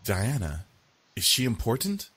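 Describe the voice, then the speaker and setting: A man's voice: medium pitch, wildly swooping pitch, measured pace, pushed and bright, breathy edge, heavily acted. An actor voicing a serious, level-headed, wary young man in a visual novel game.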